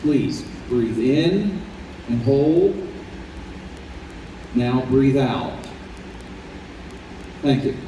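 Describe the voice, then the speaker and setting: A man playing a kindly, grave doctor in his fifties in an old time radio drama, gentle and deliberate. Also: medium pitch, lively intonation. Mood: concerned. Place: convention hall stage. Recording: echoey hall, distant mic, faint noise.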